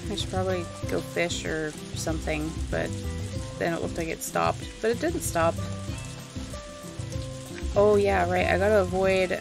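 Creaky-voiced woman narrating her gameplay. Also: fairly low pitch. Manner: rambling, thinking aloud to herself. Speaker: video game streamer narrating gameplay. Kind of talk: casual let's-play commentary.

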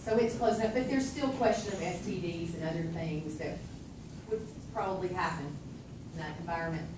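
A woman moderating a crowded community meeting, taking questions from the floor in a brisk, informal way. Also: fairly low pitch, background noise. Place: large community meeting room, indoors. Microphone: phone camera in the audience. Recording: echoey hall, distant phone mic.

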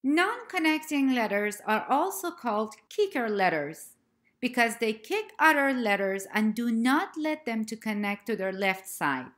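Woman teacher, slide-lesson narration, unhurried pace, slightly high voice, careful enunciation. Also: very animated, fairly bright tone. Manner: slow, careful, clearly spelled-out letter names. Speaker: language teacher.

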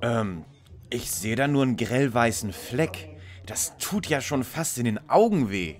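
A mid-range male voice with animated intonation , an actor, plays a know-it-all sleuth in an audio parody. He is formal, polite and a little pompous. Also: medium pitch, measured pace, close to an everyday voice. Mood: matter-of-fact. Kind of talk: parody audio drama acting.

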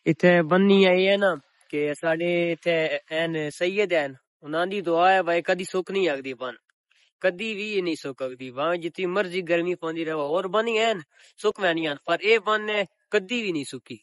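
Young punjabi guy chatting to the camera outdoors, fairly high voice, dry close phone sound. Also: moderate pace, no echo. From Pakistan. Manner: chatty, addresses viewers as public. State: recovering sore throat. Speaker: village vlogger. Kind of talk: casual selfie vlog. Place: open countryside outdoors on a cloudy, windy day. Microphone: phone held at arm's length.

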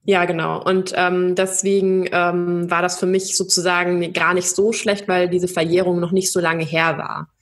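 A young woman with a slightly low voice speaks slowly and reflectively. She pauses often and fills the gaps with hesitant sounds as she thinks through each sentence. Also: little pitch movement. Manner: thoughtful, many hesitations and fillers. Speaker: podcast guest. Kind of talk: personal interview.

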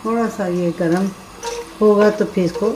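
A woman's voice: medium pitch, fairly even intonation, normal speed. A middle-aged woman hosting a home cooking demonstration, giving casual, chatty recipe instructions in a kitchen. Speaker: home cooking show host. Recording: roomy kitchen echo, background noise.

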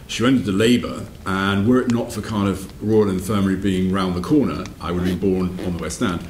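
Low-voiced older man in a roomy space. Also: fairly even intonation, fairly quick, a little mellow, slight background noise. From south-west England. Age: around 60. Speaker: interviewee, whisky company managing director. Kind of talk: reflective interview storytelling. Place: wood-panelled room by a fireplace, indoors.

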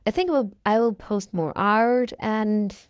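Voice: medium pitch, a little slow, no room sound, dull audio. A young-sounding voice run through a voice changer, chatting casually in a rambling vlog. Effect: voice changer filter. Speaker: content creator.

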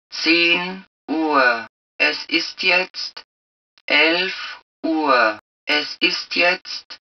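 A calm, low-voiced suit computer delivers automated game announcements very slowly. The phrasing is clipped, impersonal and measured. The sound is dull and somewhat removed from the microphone. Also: female voice, distant mic, heavily acted.

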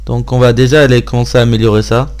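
A man's voice: mid-range voice, steady tone, quick pace, a little mellow, clear, resonant tone. A man hosting a gaming video, giving casual gameplay commentary and thinking aloud in an informal way.

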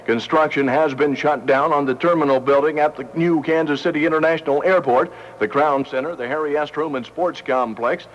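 Higher-pitched American radio newsman, muffled. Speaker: radio news reporter. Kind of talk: scripted 1970 radio news report. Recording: muffled old radio broadcast.

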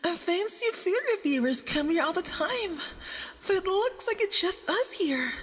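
A very high-pitched, nervous femboy boyfriend played by a woman in an ASMR roleplay. He is sweetly doting and halting, with a clingy, obsessive streak. The voice is doubled and sits in a long reverb. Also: animated. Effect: doubled audio with added reverb.

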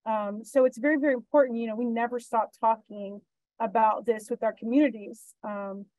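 Earnest woman, higher voice, dry sound. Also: steady tone, moderate pace, warm tone, no echo, rough recording. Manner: earnest, many "you know" and "um" fillers. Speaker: emergency management panelist. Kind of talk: panel discussion. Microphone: video call.

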